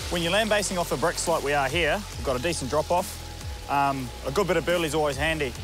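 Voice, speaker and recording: A man's voice: fairly high pitch, quick pace. A man with a New Zealand accent co-hosting a fishing show, chatting casually and cheering outdoors by the sea. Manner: animated, whoops and cheers at catches. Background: music.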